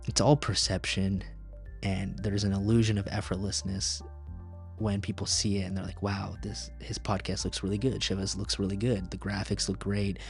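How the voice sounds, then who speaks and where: A man's voice: low voice, little pitch movement, normal speed, creaky, soft background music. A young man whose first language is Tamil, hosting a solo podcast in a casual, rambling way with plenty of fillers and restarts. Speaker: podcast host.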